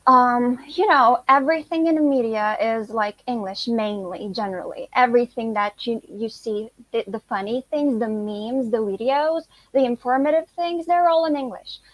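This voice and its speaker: A woman's voice: on the high side, moderate pace, few pauses, dry recording, rough recording. Chatty teenage girl, Turkish native. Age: teens. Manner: chatty, lots of "like" and "you know". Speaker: guest, high school student. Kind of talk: casual interview answers.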